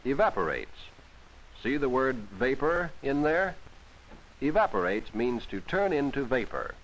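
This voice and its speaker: Animated 1940s educational film narrator, a man. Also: mid-range voice, unhurried, a little hiss or hum, low-quality recording. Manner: instructive, explains simply to a child with rhetorical questions. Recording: vintage 1940s film soundtrack.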